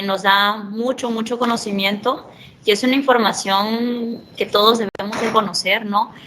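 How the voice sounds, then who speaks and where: A woman's voice: medium pitch, pushed and bright, slight background noise, low-quality recording. Woman moderating, formal tag-questioning. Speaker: event moderator. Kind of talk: formal thanks and introduction.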